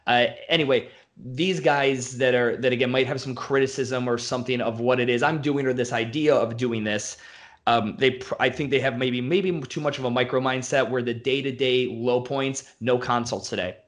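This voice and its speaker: A man's voice: mid-range voice, steady tone. A man who owns a gym, talking bluntly and profanely in a casual podcast conversation. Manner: blunt, profane, fast-flowing rants. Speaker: gym owner.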